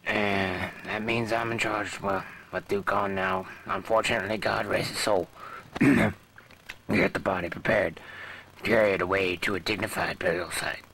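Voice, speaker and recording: Man acting a gravelly self-important soldier. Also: sits low, fairly even intonation, moderate pace, a bit bright. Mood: self-important, solemn. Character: self-important soldier taking over command. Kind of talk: amateur audio drama acting. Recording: noisy old cassette tape.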